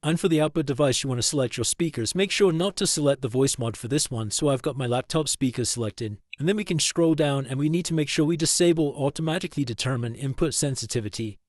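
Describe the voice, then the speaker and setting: A man narrating a step-by-step software tutorial in a plain, instructional way, close to a desktop microphone. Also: medium pitch, quick pace, hardly any pauses, no room sound. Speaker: tutorial host.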